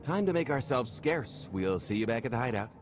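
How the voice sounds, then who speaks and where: An actor playing a cocky, smooth-talking smuggler in a video game cutscene, full of sardonic wisecracks. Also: male voice, mid-range voice, expressive, fairly quick, soft background music, natural voice. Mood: wry.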